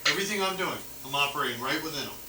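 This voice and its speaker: A man's voice: on the high side, lively intonation, rapid-fire, pushed and bright. Male police officer on noisy, distant, echoey audio. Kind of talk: casual roadside conversation explaining the law.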